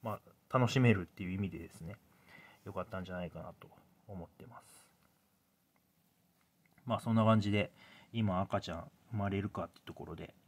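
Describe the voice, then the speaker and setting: A man in his thirties speaks in a fairly low voice. He explains things casually, like a parent vlogging to viewers. His delivery is hesitant, with phrases that trail off as he thinks aloud. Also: a little fast, long pauses.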